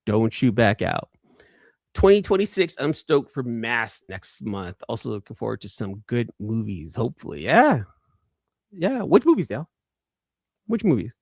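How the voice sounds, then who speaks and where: A man's voice: mid-range voice, creaky, darkish tone, slightly rough, dry recording, studio quality. A man hosting a casual livestream, chatting informally and enthusiastically with viewers and often repeating phrases for emphasis. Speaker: livestream host.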